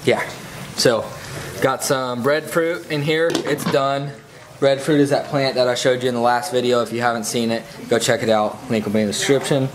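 A man's voice: mid-range voice, fairly even intonation, moderate pace, noisy background. A young man in his twenties narrating a casual vlog into an action camera held at arm's length, easygoing as he plugs links. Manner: laid-back, plugs links and merch. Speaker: vlogger host. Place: outdoors on a boat, then a home kitchen.

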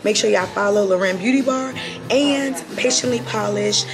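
A woman's voice: medium pitch, moderate pace, vocal fry. Upbeat young woman vlogging over music. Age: young adult. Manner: excited, upbeat, slangy. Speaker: event hostess and vlogger. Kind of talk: casual vlog chat. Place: indoors in a large open salon. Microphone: camera mic at arm's length, no clip mic.

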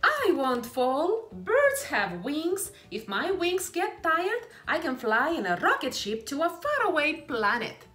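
A woman voicing a confident, imaginative, defiant little girl in a storybook, with emphatic, drawn-out words. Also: high-pitched, wildly swooping pitch, fairly bright tone, pitched above the performer's own voice, full character voice. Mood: dreamy, excited. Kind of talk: children's picture book read-aloud. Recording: roomy echo with music behind.

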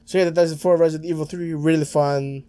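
A man's voice: a little high, steady tone, quick pace, warm tone, dry recording. Young man, Filipino accent, headset mic, casual game commentary, rambling, stammering restarts. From Philippines. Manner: rambling, many restarts and stammers, mumbles to himself. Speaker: gaming streamer. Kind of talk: casual let's-play commentary. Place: small room at home, green screen.

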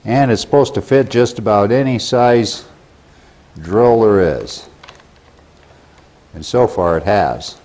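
A man's voice: on the low side, takes it slowly, pauses often, darkish tone. A middle-aged man with an American accent, giving a casual tool demonstration to camera in a plain-spoken, folksy way. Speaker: presenter of how-to videos for a store. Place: plain indoor workshop room. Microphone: lapel mic.

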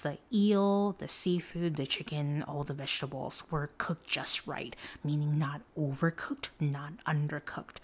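A woman narrating a food review in a soft, breathy whisper, calm and descriptive. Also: sits low. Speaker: food vlogger.

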